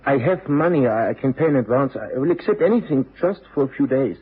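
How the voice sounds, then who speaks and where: A young Austrian musician in need of lodging in a radio drama. He is courteous, formal and halting, with a very hoarse, breathy voice on a tinny old broadcast. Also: mid-range voice, lively intonation. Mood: desperate, pleading. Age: young adult. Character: desperate, polite young Austrian male violinist seeking lodging.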